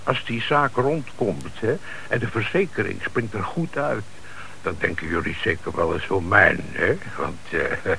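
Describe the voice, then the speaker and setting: A man's voice: low voice, animated, measured pace, creaky, pushed and bright, heavily acted. An actor in a Dutch radio play playing a shifty small-time crook who deals in cars, speaking hesitant, slangy street talk and hedging his answers. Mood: angling, sly. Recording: noisy old radio play recording.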